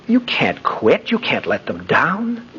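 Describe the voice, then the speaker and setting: Earnest man with very animated intonation. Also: a little high, takes it slowly, exaggerated voice. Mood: earnest, urging. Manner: earnest, persuasive. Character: earnest, loyal man pleading with an old friend. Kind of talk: 1950s radio drama acting. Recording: muffled old radio broadcast, faint noise.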